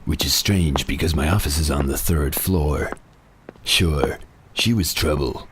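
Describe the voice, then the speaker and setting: Man playing a hard-boiled private eye, very deep voice, deadpan, wry, noise behind. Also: measured pace. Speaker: narrator. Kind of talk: parody noir radio serial narration.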